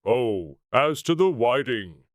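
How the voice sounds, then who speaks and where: A man's voice: medium pitch, sing-song, dry recording, studio quality. A man voicing a mournful, sentimental mock turtle with a plaintive manner in a dramatized storybook reading. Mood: wistful. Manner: slow, plaintive. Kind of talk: dramatized audiobook character.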